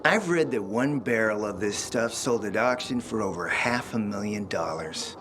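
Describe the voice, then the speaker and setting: An actor playing a suave, flirtatious, self-assured smuggler boss in a video game, with a smooth, teasing manner. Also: male voice, fairly low pitch, lively intonation, moderate pace, music in the background, lightly acted. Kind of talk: video game dialogue acting.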